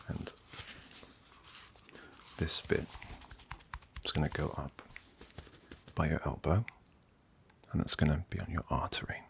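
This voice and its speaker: A British man doing a soft-spoken ASMR doctor roleplay, breathy and mostly whispered, with a gentle, reassuring, unhurried manner. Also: a bass voice, slight background noise. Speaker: ASMR performer playing a doctor.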